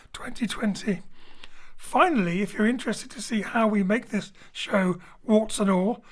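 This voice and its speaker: A woman wraps up a live show from her home studio, thanking the cast and reading out the news. Her voice is mid-pitched and plain. She keeps it brisk and lightly joking. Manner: brisk, cheerful, jokey with the cast. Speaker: host and director of the theatre company. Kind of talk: post-show announcements.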